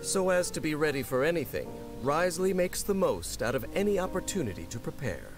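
Polished male narrator over loud music. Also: on the high side, very animated. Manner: measured, articulate, polished. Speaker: narrator of game character guide. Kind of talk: scripted promotional narration. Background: game music.

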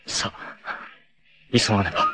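A man voicing a proud, fierce young male martial artist in a 1980s anime, who moves from measured calm to raging shouts. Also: music in the background, natural voice. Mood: grim, resolute. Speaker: anime voice actor. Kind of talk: 1980s anime dub acting.

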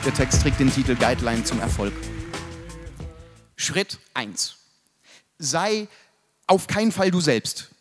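A man in his twenties giving a deadpan, instructional satirical reading at a poetry slam. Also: medium pitch, takes it slowly, long pauses, over loud music. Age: 20s. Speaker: slam poet. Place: live stage in an indoor event hall. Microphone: mic on stand.

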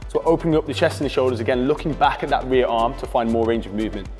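A British man guides a workout with calm, steady coaching cues. His voice carries a long reverb from the hall, with faint music under it. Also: medium pitch, racing. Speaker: fitness coach. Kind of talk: instructional workout voice-over.

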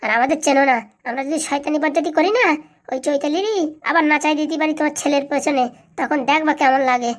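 Lady doing an aggrieved cartoon village uncle, scolding and threatening super fast. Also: high-pitched, lightly acted. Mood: indignant. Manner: scolding, indignant. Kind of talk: comic cartoon dialogue.

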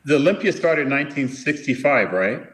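A man's voice: medium pitch, lively intonation, brisk, fairly bright tone, breathy edge. A man in his fifties in a casual video-call chat, arguing back with doubt. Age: 50s. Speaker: guest.

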